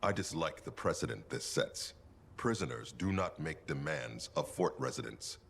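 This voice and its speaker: A gravelly, very deep stern commander. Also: male voice, lively intonation, normal speed, a bit bright, a little hiss or hum, exaggerated voice. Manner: formal, commanding, disapproving. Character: stern, authoritarian male fort commander. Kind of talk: video game cutscene acting.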